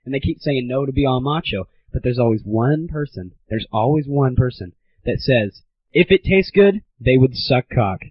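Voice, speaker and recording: A man's voice: mid-range voice, expressive, normal speed, slight background noise. A teenage man hosting a casual comedy monologue on a gaming audio show, irreverent, crude and mock-grandiose, on a lo-fi recording. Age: teens. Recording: dull lo-fi 1990s internet audio.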